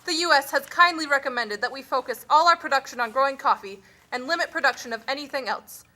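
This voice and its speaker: A woman playing a callous, bossy official and overseer in an amateur puppet show, speaking in a brisk, commanding way. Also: sits high, pushed and bright. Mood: proclaiming.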